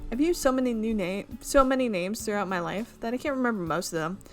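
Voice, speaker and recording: A woman's voice: on the high side, quick pace, soft background music. A young woman reading a visual novel aloud, breaking off for chatty, amused asides about the script. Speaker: visual novel let's player. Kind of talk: reading a game aloud with casual commentary.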